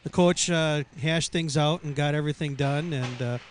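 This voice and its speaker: A man's voice: fairly high pitch, moderate pace, a little hiss or hum. A man running the camera and doing color commentary on a local hockey show, hesitant and joking. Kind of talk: casual sports talk.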